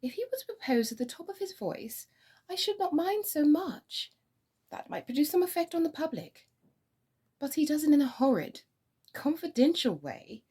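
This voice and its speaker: A woman's voice: sits high, sing-song, moderate pace, warm tone, pitched above the performer's own voice. A woman playing a flighty, witty young society lady in a stage comedy, breezy and mock-indignant. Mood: playful, indignant. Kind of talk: theatrical comedy of manners.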